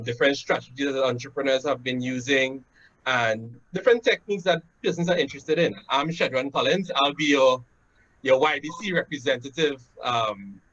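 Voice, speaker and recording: A man from Trinidad and Tobago with a Trinidadian accent welcomes people to a webinar over a video call. He speaks at a middle pitch in a loose, chatty way, with fillers and "right?" tags. The sound is dull and lo-fi, as from a laptop. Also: fairly bright tone. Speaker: webinar host. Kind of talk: casual webinar introduction. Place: home room, indoors. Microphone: laptop webcam on a video call.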